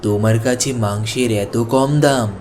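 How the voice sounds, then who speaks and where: Man playing cartoon customer, ordinary, middle pitch, everyday voice. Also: steady tone, moderate pace, a little mellow, slight background noise, lightly acted. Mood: curious. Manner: surprised, asking prices. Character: ordinary male customer at market. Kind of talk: cartoon voice acting.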